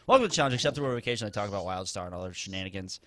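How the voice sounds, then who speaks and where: A man's voice: lowish voice, lively intonation, rapid-fire, a loud crowd. A man hosting a casual gaming podcast over a voice call, laughing often. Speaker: podcast host.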